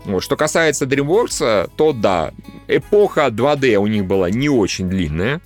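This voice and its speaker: A man's voice: mid-range voice, sing-song, measured pace, soft background music. A man hosting a podcast, chatting casually about animation in informal, blunt slang. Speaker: podcast host.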